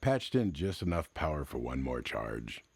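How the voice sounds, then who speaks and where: A man with an extremely low, gravelly voice tells a story in slow, measured phrases. His delivery is somber and cinematic, rising and falling widely between dramatic pauses, recorded close and dry. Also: big pitch swings, no echo. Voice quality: very deep, gravelly, husky. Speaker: storyteller, narrator. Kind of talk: slow cinematic scripted narration, classic radio drama style.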